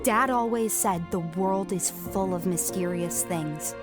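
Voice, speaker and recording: A woman's voice: lowish voice, measured pace, music in the background, lightly acted. A woman playing a determined, feisty young girl with a blunt, youthful manner in a family audio drama.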